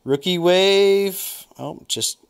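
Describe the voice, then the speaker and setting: A man's voice: high voice, drawn-out words, vocal fry, clear, resonant tone. A man opening packs of football trading cards, thinking aloud and naming each card as he flips through them. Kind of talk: casual unboxing commentary.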